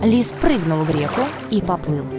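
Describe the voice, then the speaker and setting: A woman's voice: low-pitched, expressive, normal speed, music in the background. A woman giving scripted narration of a children's fairy tale.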